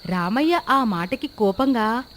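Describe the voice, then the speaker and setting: High-pitched woman story narrator. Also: animated, takes it slowly, a little hiss or hum. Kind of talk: scripted children's story narration.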